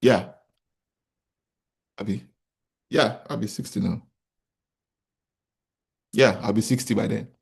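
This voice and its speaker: A man's voice: lowish voice, fairly even intonation, slow pace, vocal fry, long pauses, husky. A Nigerian man in his forties, a company founder giving a motivational business talk to an audience, who repeats his key points and asks rhetorical questions. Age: 40s. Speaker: real estate company founder speaking to an audience.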